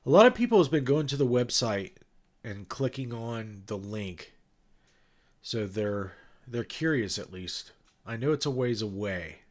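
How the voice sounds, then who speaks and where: A man's voice: medium pitch, sing-song, unhurried. A man with a stuffed-up, nasal voice from a cold, chatting loosely with viewers in a livestream, rambling with fillers and restarts. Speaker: livestream host and ghost-hunting event organizer. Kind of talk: casual livestream chat with viewers.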